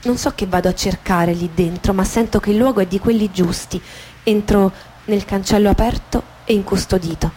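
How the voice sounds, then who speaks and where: Measured woman reading dramatically over music. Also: medium pitch, warm tone, a little hiss or hum. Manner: measured, short phrases with deliberate pauses. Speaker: actress reading a dramatised memoir. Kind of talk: dramatic literary narration, radio theatre. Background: guitar music behind the voice.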